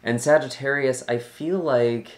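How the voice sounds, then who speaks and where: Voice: mid-range voice, measured pace. A tarot reader hosting a channel and talking casually to viewers, with a slightly scratchy, tired voice. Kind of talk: casual talk introducing a general tarot reading.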